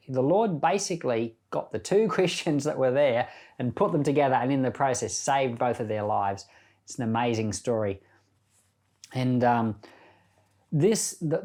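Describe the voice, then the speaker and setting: A man's voice: medium pitch, darkish tone. A man speaking as a pastor, giving informal Bible teaching in a reflective, conversational storytelling manner.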